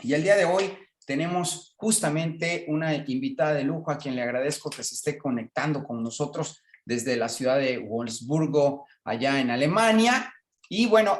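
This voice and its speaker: Roomy-sounding male radio host. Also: fairly high pitch. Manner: rambling, many fillers. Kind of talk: radio interview.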